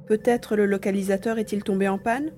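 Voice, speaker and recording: A woman's voice: medium pitch, steady tone, fairly quick, dark tone, slight background noise, natural voice. A woman playing an adventure heroine who questions her partner during a search in an audio drama. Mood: questioning, concerned. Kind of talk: French audio drama acting.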